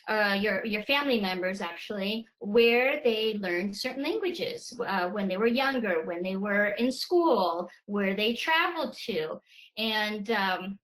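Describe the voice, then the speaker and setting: A woman whose first language is Polish, presenting in a video-call webinar, talking in a halting way with many fillers and restarts. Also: medium pitch, poor audio.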